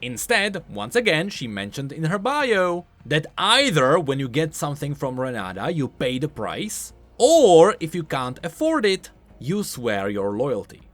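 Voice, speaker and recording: A man's voice: fairly high pitch, very animated, a little slow, fairly bright tone, dry recording, soft background music. A man giving scripted explainer commentary as a video host, in an explanatory, emphatic manner.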